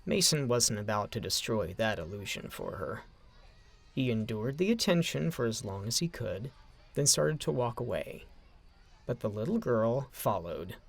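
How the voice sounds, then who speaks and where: A man's voice: mid-range voice, very animated, normal speed, gravelly. A man narrating a scripted story as an audio drama narrator.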